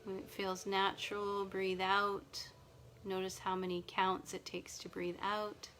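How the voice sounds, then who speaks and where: A woman's voice: mid-range voice, monotone, slight background noise. Soothing woman guiding a sleep meditation. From Nova Scotia. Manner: slow, soft and soothing. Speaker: meditation guide. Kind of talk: guided relaxation meditation. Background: music and ocean wave sounds.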